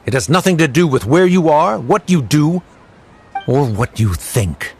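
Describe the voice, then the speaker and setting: A man's voice: a little high, expressive, unhurried, a little mellow, slight background noise. An actor playing a cold, curt middle-aged father who speaks in a formal, dismissive way. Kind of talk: video game dialogue.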